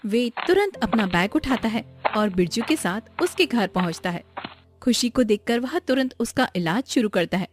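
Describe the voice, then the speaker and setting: A woman's voice: a little high, animated, moderate pace, faint music behind. A woman narrating a scripted story.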